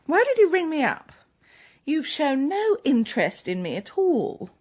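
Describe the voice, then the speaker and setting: A woman's voice: high voice, huge pitch swings, a little slow, a little mellow, heavily acted. An actress playing a blunt, tipsy young Englishwoman on a date in a comic radio drama. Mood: exasperated. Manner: blunt, exasperated.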